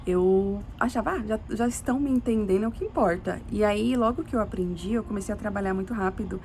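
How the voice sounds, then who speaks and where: A woman's voice: mid-range voice, quick pace, a little mellow, a little hiss or hum. A young adult Brazilian woman telling a personal story in a casual, rambling way, restarting often and adding tag questions. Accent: Brazilian Portuguese. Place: living in Sweden.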